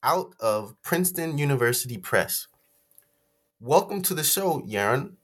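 A man's voice: mid-range voice, sing-song, a little slow, a bit bright. Man hosting a book podcast. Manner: reads prepared questions formally. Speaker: podcast host. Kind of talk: interview hosting.

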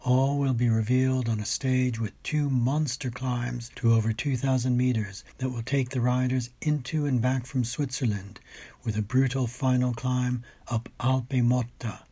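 Male narrator, mellow and husky, medium pitch, scripted race report, dramatic literary delivery. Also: fairly even intonation, moderate pace. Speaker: food, wine and travel writer.

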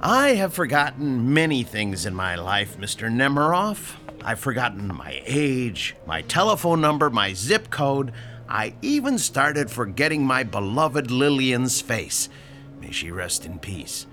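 A man's voice: mid-range voice, big pitch swings, measured pace, soft background music. An actor playing a pushy, sarcastic older landlord in a radio drama, lecturing his tenant about rent. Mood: mock-sentimental. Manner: sarcastic, lecturing, theatrical swings from shouting to hushed.

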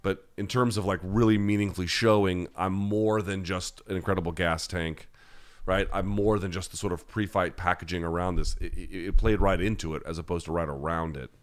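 A man's voice: deep voice, fairly even intonation, fairly quick. An American man hosting a live-chat podcast, answering listener questions in a blunt, conversational, opinionated way. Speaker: podcast host.

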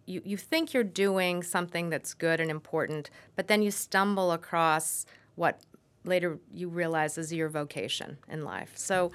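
Low-pitched American woman, measured explainer. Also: fairly even intonation. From suburbs of Saint Louis, Missouri, USA. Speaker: urban planning expert, guest. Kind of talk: thoughtful spoken interview answers.

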